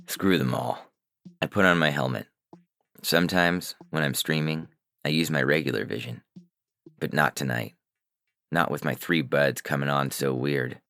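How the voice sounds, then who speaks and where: A man's voice: very deep voice, vocal fry, sharp tone, no echo, studio quality. A man narrating a scripted first-person science fiction story as its reader. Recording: music bed under the voice. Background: music.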